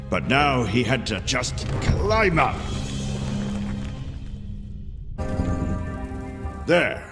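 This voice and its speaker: A kindly older male storyteller, spins a fairy tale for a game. His delivery is unhurried and theatrical, with lively swings of pitch. Long reverb hangs around the words. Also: full character voice. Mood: dramatic, excited. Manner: theatrical, measured, animated. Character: kindly old storyteller telling a child a tale. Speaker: storyteller narrator. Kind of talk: dramatic fairy-tale narration.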